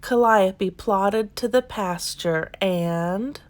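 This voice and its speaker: A woman reading a children's storybook aloud in an expressive, deliberate storytelling manner. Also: medium pitch. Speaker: children's book reader. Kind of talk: expressive scripted storybook narration.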